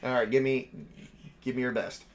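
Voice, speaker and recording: A man's voice: medium pitch, little pitch movement, moderate pace. A man acting as game master, narrating and improvising a tabletop game in a casual, profane, informal way.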